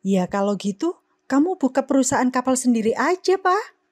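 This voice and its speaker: Woman as wife, higher voice, firm, persuasive, cartoon. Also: sing-song, normal speed, a little mellow, no room sound. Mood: urging. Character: practical wife of an ice seller.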